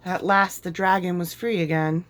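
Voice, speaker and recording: A woman with a slightly low voice reads a children's picture book aloud. She tells it deliberately and expressively, as a storyteller does. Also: steady tone, a little hiss or hum.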